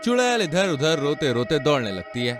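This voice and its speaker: A man's voice: on the high side, big pitch swings, normal speed, loud music behind the voice. A man narrating a scripted horror-comedy story in a dramatic storytelling style.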